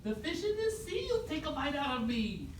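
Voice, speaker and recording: A wary, prickly animal puppet played by a woman, grumbling dramatically. The pitch leaps around a great deal, picked up from a distance in a roomy space with faint noise. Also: very animated, normal speed. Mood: weary, worried. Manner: dramatic, complaining. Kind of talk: live puppet show acting.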